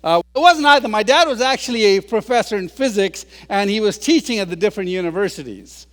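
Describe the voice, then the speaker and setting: Man in his 60s, high voice, joking storyteller, self-deprecating, long hall reverb. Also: animated, fast talker. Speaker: guest speaker. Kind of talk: humorous public talk.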